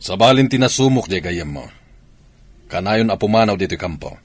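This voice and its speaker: Actor as a lively low-voiced army commander and father. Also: moderate pace, faint music behind. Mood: stern, commanding. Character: military camp commander, a husband and father. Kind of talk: Ilocano radio drama acting.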